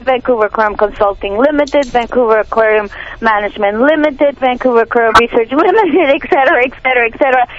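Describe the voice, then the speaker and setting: A woman with a Peruvian Spanish accent talks as an activist guest on a radio show. She is earnest and indignant and often restarts her sentences. The sound is dull and lo-fi, like an old radio broadcast. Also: a little high. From Peru. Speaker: animal rights activist guest. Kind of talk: radio interview.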